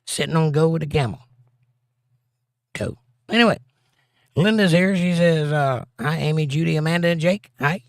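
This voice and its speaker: A man hosting a casual livestream, reading viewer comments aloud with jokes and silly bits, his voice a little hoarse and scratchy. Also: fairly high pitch, normal speed, pauses often, no room sound, clean studio sound. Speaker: livestream host reading chat.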